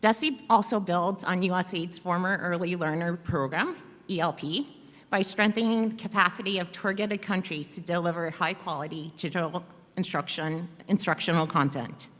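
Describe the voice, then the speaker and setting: A woman guest speaker reading prepared official remarks in a measured, formal way at a podium microphone in a conference hall. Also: fairly low pitch. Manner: measured, formal, with soft um fillers. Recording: long hall reverb.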